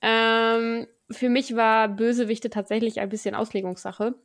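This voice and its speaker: A woman's voice: fairly high pitch, level tone, measured pace. A young woman co-hosting a podcast in casual chat, giggly and playful. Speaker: podcast co-host.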